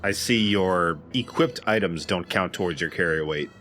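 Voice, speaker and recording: Really deep voiced guy, casual chat and little quips over a game, faint music behind. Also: moderate pace, pushed and bright. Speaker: let's play co-commentator.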